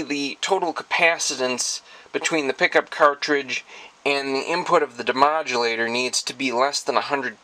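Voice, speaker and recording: A man's voice: mid-range voice, sharp tone, poor audio. A man presenting a hobbyist tech demonstration, explaining his device modification in a methodical, technically precise way.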